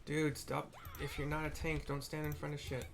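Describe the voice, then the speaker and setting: A man's voice: on the high side, completely flat delivery, moderate pace, creaky, background noise. A man narrating his video game playthrough in a casual, informal, descriptive way. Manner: laid-back, descriptive, informal. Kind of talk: casual gameplay commentary. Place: indoors at a computer.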